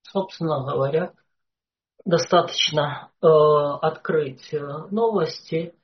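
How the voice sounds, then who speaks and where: Slow, halting lecturer on a dull recording. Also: medium pitch. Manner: hesitant, frequent "uh" fillers. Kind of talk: unscripted lecture.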